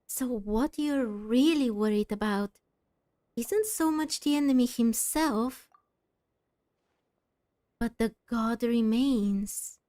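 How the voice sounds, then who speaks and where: Woman gaming streamer, unhurried, slightly high voice, reading aloud, thoughtful, pausing, faint game music. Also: warm tone, no room sound, clean studio sound. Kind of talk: game playthrough, reading dialogue aloud and musing.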